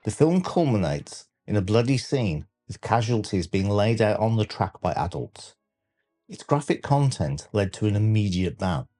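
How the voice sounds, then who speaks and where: Low, mellow male documentary narrator. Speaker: narrator and channel host. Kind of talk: scripted documentary countdown narration.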